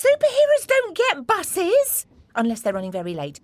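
A man's voice: extremely high pitch, wildly swooping pitch, no echo, voice pushed up, full character voice. A man reading a children's story, voicing a boastful, theatrical superhero mother. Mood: grandiose. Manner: grand, declaiming, drawn-out lines. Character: boastful theatrical superhero mum, grown woman.

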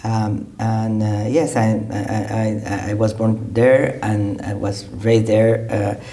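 A man's voice: lowish voice, flat delivery, takes it slowly, dark tone. A middle-aged man from Costa Rica, a trade diplomat answering interview questions with many fillers and restarts. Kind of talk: conversational interview.

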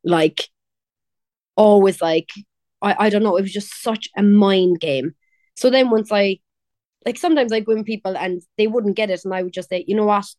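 A woman's voice: medium pitch, normal speed, a little mellow, dry recording, clean studio sound. A young woman from Cork with a Cork Irish accent, chatting casually as an interview guest, laughing and quoting others. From Ireland. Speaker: pop singer, guest.

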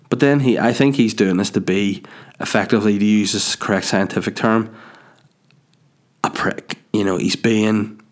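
A man giving rambling, crude, joking commentary as a host. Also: on the low side. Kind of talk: casual commentary.

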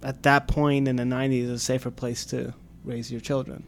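Gravelly-voiced man in his twenties. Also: medium pitch, little pitch movement, normal speed, slight background noise. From Sweden. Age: 20s. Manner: hesitant, many fillers. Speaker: camp staff guest. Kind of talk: casual interview.

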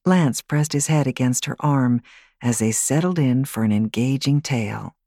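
Woman reading an audiobook, low voice, expressive and animated, real close dry studio sound. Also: no room sound. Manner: expressive, measured. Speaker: audiobook narrator. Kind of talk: scripted fiction narration, storytelling.

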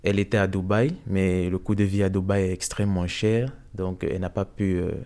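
A young man from Cameroon giving a hesitant, soft-spoken personal testimony in an interview, with many fillers. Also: sits low, flat delivery, a little fast.

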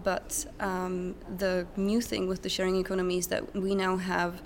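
A woman's voice: on the low side, little pitch movement, moderate pace. A young woman answering interview questions in English in a hesitant, thoughtful, conversational way. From Czech Republic. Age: young adult. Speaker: interview guest, recent graduate. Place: conference center. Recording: background noise at conference venue. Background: ambient noise, distant sound check.

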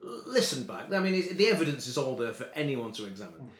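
A man co-hosting a music podcast, chatting casually in a halting, hesitant way. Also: mid-range voice, sing-song. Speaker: podcast co-host presenting a music segment. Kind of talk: casual chat. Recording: roomy, echoey room sound.

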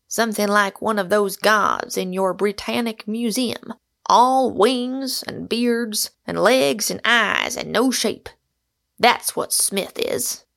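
A woman's voice: fairly high pitch, vocal fry, a bit bright, dry recording, studio quality, heavily acted. A woman reading the lines of a vain, pedantic American doctor, earnest and soothing. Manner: earnest, soothing, slightly pompous.

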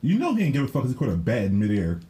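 A man co-hosting a podcast, chiming in with quick, laid-back remarks in casual chat. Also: medium pitch, wildly swooping pitch, dark tone. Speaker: podcast co-host.

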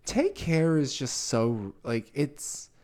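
A man's voice: mid-range voice, very animated, slow, darkish tone. A man from Tonga co-hosting a podcast in casual chat, with a playful, campy, chatty manner. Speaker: podcast co-host.

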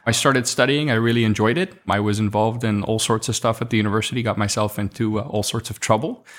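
A man's voice: on the low side, steady tone, quick pace. South African man telling his story. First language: Afrikaans. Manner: reflective, with frequent restarts and fillers. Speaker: podcast guest. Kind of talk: conversational interview storytelling.

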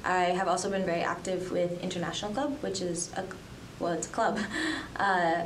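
A woman's voice: on the low side, moderate pace. A young woman from the Dominican Republic and Colombia, a student journalist answering interview questions in a chatty way with many fillers. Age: young adult. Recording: echoey room, distant from mic, noisy.

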